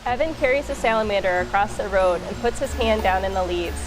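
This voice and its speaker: A woman reading a children's picture book aloud in an expressive storytelling style. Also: mid-range voice, brisk, music in the background, poor audio.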